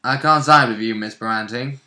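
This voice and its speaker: A man's voice: mid-range voice, a little fast, pushed and bright. A man chatting casually about role-playing as a player and co-host.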